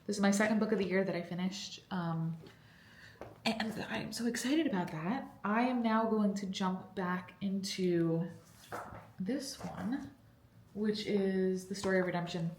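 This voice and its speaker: A woman's voice: mid-range voice, measured pace, a little mellow, slight background noise. Chatty young woman, congested, echoey room. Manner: chatty, rambling with many fillers. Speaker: book vlogger. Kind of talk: casual vlog chat. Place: cold basement room at home.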